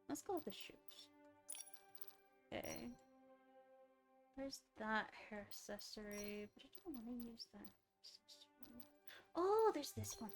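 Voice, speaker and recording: A woman game streamer giving casual live commentary, musing aloud to herself as she plays. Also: fairly high pitch.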